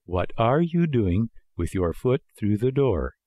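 A man voicing a calm female snail in a storybook, drawing out words in a peaceful, unhelpful way. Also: on the low side, slow pace, no echo, exaggerated voice. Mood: blandly amused. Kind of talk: storybook character voice.

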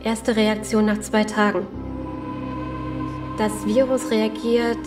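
A woman playing a serious, steady survivor in an audio drama, speaking in a grave and deliberate way. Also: mid-range voice, loud music behind the voice, close to an everyday voice. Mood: grave reading. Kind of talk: German audio drama acting.

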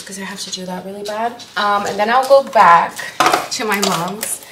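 A woman's voice: medium pitch, heavy background noise. A young woman in her twenties whose first language is Dutch, chatting candidly in a casual diary vlog in her apartment, filmed by a camera a few feet away. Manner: chatty, candid, lots of "like" fillers. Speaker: vlogger. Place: apartment living room and kitchen. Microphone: camera on a tripod at a distance. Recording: roomy echo from camera distance.

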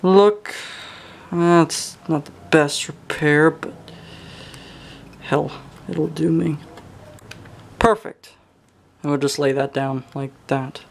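Slow-paced young man muttering over repairs. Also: fairly high pitch, fairly even intonation, gravelly, slight background noise. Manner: muttering to himself, exclaiming, casual swearing. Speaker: hobbyist electronics repairer. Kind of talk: casual hands-on repair commentary. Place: indoors at a workbench.

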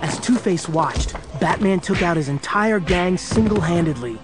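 An actor playing the dramatic storyteller of a superhero adventure, reading scripted narration. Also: male voice, high voice, lively intonation, moderate pace, over music.

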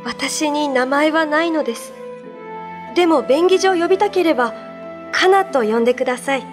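A woman playing a gentle, mysterious young spirit in human form, speaking politely in a radio drama. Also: sits high, normal speed, music in the background, close to an everyday voice. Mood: shy, happy.

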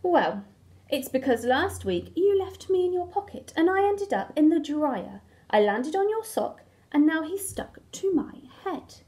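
A woman's voice: high-pitched, big pitch swings, unhurried, warm tone. A woman voicing an aggrieved, long-suffering turquoise crayon from a storybook, full of complaint. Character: aggrieved turquoise crayon stuck to a smelly sock.

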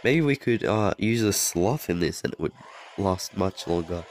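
Low-voiced man rambling over gameplay. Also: expressive, moderate pace, a little hiss or hum. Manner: rambling, self-correcting, chuckles often. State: voice off from being sick. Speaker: mobile game channel host. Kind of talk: gameplay commentary over a screen recording.

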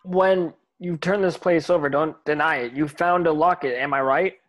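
A man's voice: a little high, normal speed, a bit bright, close to an everyday voice. A man playing a plain-spoken, determined young wizard hero in an amateur table read. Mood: pressing. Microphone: video call.

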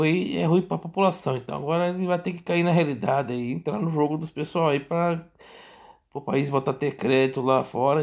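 A man with a Brazilian accent giving casual, off-the-cuff news commentary in a rambling, halting, repetitive way with frequent tag questions. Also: mid-range voice, gravelly. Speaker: political commentary vlogger.

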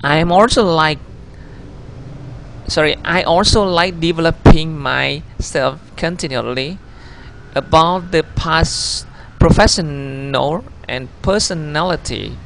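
Vietnamese-accented man, 30s, gravelly voice, slightly high, halting self-corrections, faint background hum. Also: fairly bright tone. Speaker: job applicant. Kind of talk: video CV voice-over over slides.

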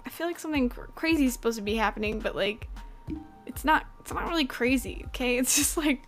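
A woman's voice: sits high, animated, quick pace, faint music behind. A young woman in her twenties giving a casual, sarcastic anime review from her bedroom, swearing and laughing often into a desk microphone. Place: bedroom at home. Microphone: desk microphone in front, webcam.

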